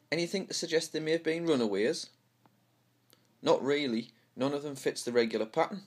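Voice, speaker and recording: A man with a Houghton-le-Spring pitmatic accent from North East England, reading play dialogue as an amateur. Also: on the high side. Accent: Houghton-le-Spring pitmatic, North East English. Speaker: amateur script reader. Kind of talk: dramatic dialogue read-through.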